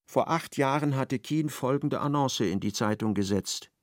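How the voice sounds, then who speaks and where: A man narrating a radio play in a measured, ironic storytelling manner. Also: medium pitch, no echo, clean studio sound.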